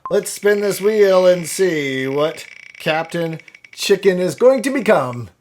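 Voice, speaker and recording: A man's voice: high-pitched, lively intonation, takes it slowly, darkish tone, a little hiss or hum. A man hosting a casual step-by-step drawing tutorial, talking in a chatty, jokey way as he thinks aloud. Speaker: drawing instructor and host. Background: background music.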